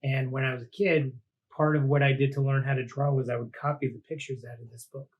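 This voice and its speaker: A man reading a children's picture book aloud like a teacher, with deliberate dramatic phrasing and drawn-out words. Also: mid-range voice, level tone, lo-fi sound. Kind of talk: animated storybook read-aloud for children.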